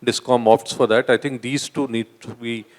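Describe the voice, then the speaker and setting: A man's voice: mid-range voice, steady tone, normal speed. A man, a wind project developer on a panel, explaining point by point with fillers. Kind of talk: panel discussion. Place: conference hall.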